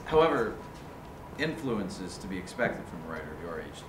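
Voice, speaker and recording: Guy playing a blunt bookish writer in a staged reading, really lively pitch, noisy echoey room. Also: brisk. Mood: coolly critical. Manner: precise, formal, bookish phrasing. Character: blunt, articulate male writer critiquing a younger writer's story. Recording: roomy, noisy live recording.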